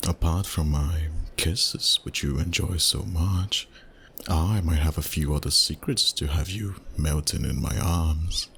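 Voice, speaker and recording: A very deep-voiced, suave vampire boss played by a man murmurs in an intimate ASMR roleplay. He is dominant and flirtatious, teasing with unhurried, courtly phrases. A faint layer of noise sits behind the voice. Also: heavily acted. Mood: seductive.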